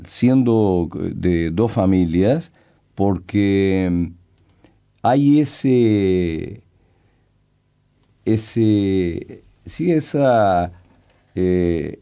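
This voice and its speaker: Slow, mellow older man. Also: fairly low pitch. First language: Brazilian Portuguese. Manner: slow, hesitant, halting, thoughtful. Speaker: poet and guest. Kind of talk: reflective interview talk.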